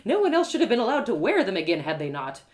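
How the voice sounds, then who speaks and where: Highly animated woman reading a story. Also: quick pace. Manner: expressive, theatrical. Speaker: author and storyteller. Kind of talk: live first-person fiction reading.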